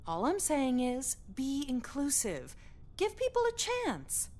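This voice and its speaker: A woman's voice: high-pitched, huge pitch swings, takes it slowly, a crowd in the background, full character voice. A woman playing a preachy, sweetly coaxing middle-aged woman in a game, lecturing in a saccharine manner. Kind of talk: scripted visual novel dialogue.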